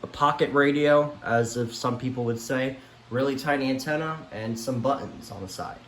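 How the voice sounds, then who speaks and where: A man's voice: mid-range voice, normal speed, a bit bright. A man giving a casual, unscripted vlog tour of a collection in a rambling, mildly exasperated way, repeating himself as he goes. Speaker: vlogger showing a weather radio collection. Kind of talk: casual unscripted show-and-tell. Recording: echoey room with faint background noise.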